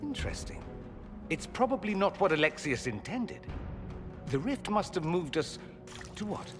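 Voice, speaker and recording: An actor playing a witty, urbane mage in a video game, with an arch and theatrical delivery. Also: male voice, sits high, big pitch swings, normal speed. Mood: intrigued. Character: witty, flamboyant adult male mage, urbane and inquisitive. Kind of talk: dramatic video game voice acting. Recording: long hall reverb, music underneath.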